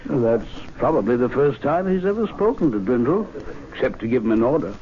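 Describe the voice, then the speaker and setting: An actor playing a worried, doubtful, formal club gentleman in an old radio drama. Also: medium pitch, big pitch swings, brisk, close to an everyday voice. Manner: fretful, formal. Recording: tinny, faintly hissy radio recording.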